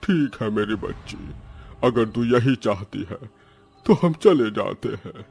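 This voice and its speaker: A man's voice: on the low side, expressive, slow, creaky, darkish tone, husky, soft background music, full character voice. A man voicing the grieving, vengeful ghost of an old father in a cartoon horror story, brooding. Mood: tender.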